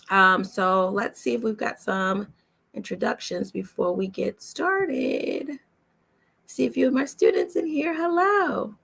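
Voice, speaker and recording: A woman's voice: mid-range voice, gravelly. A woman hosting an online webinar as a coach, chatting casually to viewers in a welcoming, reassuring way. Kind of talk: casual livestream chat to viewers.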